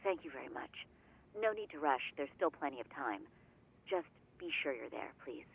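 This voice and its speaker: A man's voice: a little high, lively intonation, normal speed, gravelly, fairly bright tone, slight background noise, low-quality recording. An actor voicing a polite, formal male defense lawyer in a video game, speaking courteously and apologetically.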